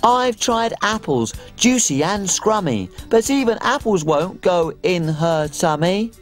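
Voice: mid-range voice, lively intonation, slow pace, faint music behind, voice pushed down. A performer putting on a worried castle cook listing foods in rhyme. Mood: fretting. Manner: rhyming, worried. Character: fretful male castle cook.

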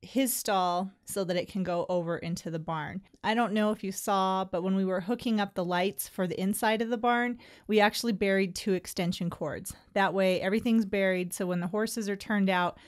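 A middle-aged woman narrating her own how-to video about her barn. She explains each step plainly and in a friendly way, at an everyday pitch. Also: steady tone, moderate pace, hardly any pauses. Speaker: horse owner vlogger.

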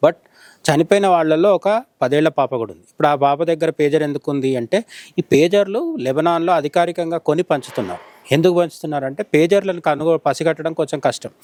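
A man's voice: a little high, fairly quick, a little mellow, no echo. A man giving informal news analysis in conversation, explaining and often rephrasing as he goes. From India. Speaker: journalist interviewed as commentator.

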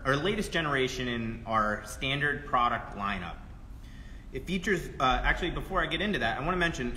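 A man's voice: mid-range voice, sharp tone. A man in his thirties presenting a product live and explaining its features. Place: trade show booth in a large indoor hall. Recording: roomy echoing hall with faint noise.

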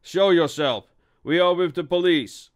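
A man's voice: on the high side, measured pace, pitched above the performer's own voice, close to an everyday voice. A man voicing a commanding, short-tempered police inspector in an audiobook, stern and barking orders. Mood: stern warning. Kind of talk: audiobook character voice.

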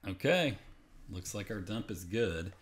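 Male tutorial narrator, low voice, informal and unscripted, walks through steps plainly. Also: animated, unhurried, loud music behind the voice. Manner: informal, unscripted, step-by-step explaining. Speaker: tutorial presenter. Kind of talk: casual screen-recorded how-to narration. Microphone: voice-over on a screen capture.

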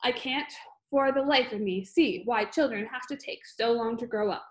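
A woman's voice: a little high, normal speed, lightly acted, rough recording. A woman voicing a huge tyrannical headmistress in a storybook, bellowing insults with explosive contempt for children. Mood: disdainful.

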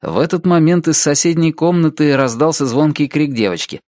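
A man narrating an audiobook in an even storytelling manner. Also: on the high side, brisk, no room sound, clean studio sound. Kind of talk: scripted narration.

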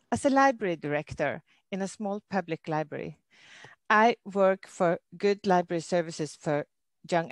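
A woman's voice: mid-range voice, dry recording. Norwegian-accented woman presenting, dry close sound. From Norway. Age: middle-aged. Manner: careful, slightly halting non-native English. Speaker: library director giving a presentation. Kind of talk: webinar presentation. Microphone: computer mic on a video call.

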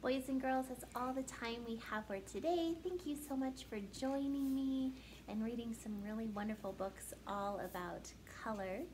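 A woman in her thirties reading aloud and talking to young children like a teacher, in an expressive, deliberate, sing-song manner. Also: on the high side, a little hiss or hum. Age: 30s. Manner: slow, deliberate, animated teacher delivery addressing children. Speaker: first grade teacher hosting a children's story time. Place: living room at home, indoors.

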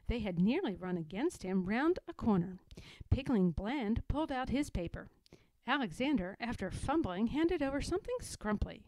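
High, animated woman reading a storybook. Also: normal speed, no room sound, low-quality recording. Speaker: story reader. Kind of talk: expressive children's storybook narration.

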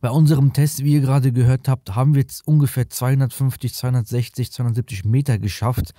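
A man's voice: mid-range voice, fairly even intonation, fast talker, warm tone. A man in his thirties talks through a tech product to camera. He explains it casually and colloquially, like an online reviewer. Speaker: tech reviewer, video host.

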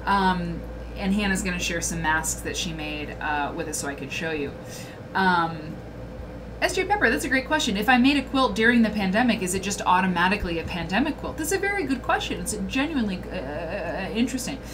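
A woman's voice: medium pitch, very animated, a little fast, background noise. A woman hosting a casual livestream chat from a small room, reading viewer comments aloud and talking to them directly. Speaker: host.

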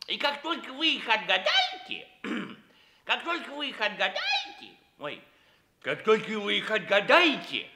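High-pitched clownish court buffoon. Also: male voice, huge pitch swings, heavy background noise, heavily acted. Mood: playful. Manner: clowning, drawn-out, stumbling repetitions. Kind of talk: comic theatrical radio play acting.

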